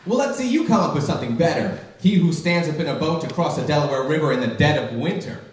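A man's voice: on the high side, animated, fast, far from the mic, voice pushed down, close to an everyday voice. Young man playing a goofy, eager army general, echoey. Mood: indignant. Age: young adult. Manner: casual, sheepish, comic delivery. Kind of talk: live old-time radio comedy skit. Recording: very echoey room, off the mic.

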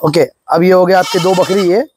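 A man's voice: sits high, fairly even intonation, normal speed, background noise. A man eagerly showing off and pricing goats in an outdoor farm pen, recorded on a nearby phone. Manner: pointing out features, eager selling. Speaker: goat farm seller. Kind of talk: casual sales walkthrough.

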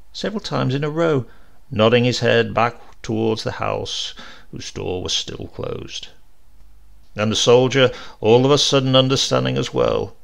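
A man reading a comic short story aloud as an expressive audiobook narrator. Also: medium pitch, vocal fry.

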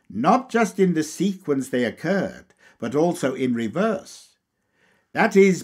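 A man narrates a lecture as an audiobook in a slightly high, husky voice. His delivery is slow and deliberate, with lively rises and falls as he lays out each point. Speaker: audiobook reader. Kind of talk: scripted narration, reading a lecture aloud.

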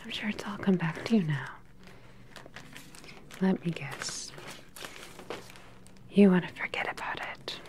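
A woman in her thirties playing a calm, sinister scientist in an ASMR sci-fi roleplay, whispering softly, close to the mic, with a clinical, condescending manner. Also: on the low side. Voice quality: soft, breathy whisper. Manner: unhurried, clinical, quietly condescending. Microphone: close microphone, seated facing the camera.

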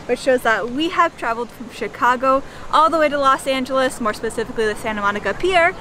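A woman's voice: sits high, animated, quick pace, sharp tone, slight background noise. Young woman narrating a van trip. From New York. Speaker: van life vlogger. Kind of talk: scripted narration.

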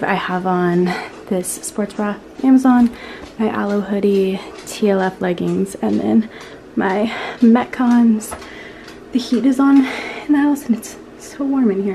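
A woman's voice: mid-range voice, normal speed, slight background noise. Chatty young woman vlogging at home. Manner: chatty, relaxed, many "like" fillers. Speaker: lifestyle vlogger. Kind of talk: casual vlog talk to camera. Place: bedroom at home, indoors. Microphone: handheld camera at arm's length.

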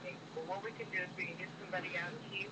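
A woman with a very bright, forceful voice handles a customer call. She is polite and patient. She is heard over a telephone on speaker. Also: fairly low pitch, little pitch movement, very fast, poor audio. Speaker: customer service phone agent at plumbing company. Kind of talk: polite business phone call.

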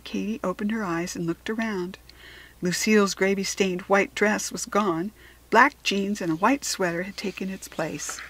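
An American woman narrating a children's story expressively, with deliberate dramatic emphasis on key words. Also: mid-range voice, breathy edge, low-quality recording. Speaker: storybook reader.

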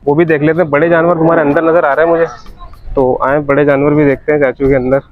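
A man's voice: a little high, level tone, fast, narrow, tinny audio, crowd noise. A man vlogging at an outdoor cattle pen, chatting and bargaining over prices with a seller. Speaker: vlogger touring a cattle market. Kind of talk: casual walk-around haggling chat.

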